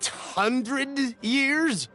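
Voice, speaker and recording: A man's voice: very high-pitched, very slow, bright, forceful tone, exaggerated voice. An actor playing an anxious, cautious and humble man in a video game, speaking in an earnest, polite, flustered way. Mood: worried. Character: anxious, cautious, humble male buyer of modest means. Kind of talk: video game character acting. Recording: music under the dialogue. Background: game music.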